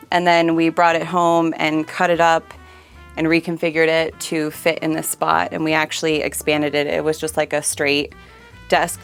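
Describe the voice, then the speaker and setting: Low-pitched woman giving a casual tour. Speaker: homeowner giving a house tour. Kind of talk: casual house tour narration.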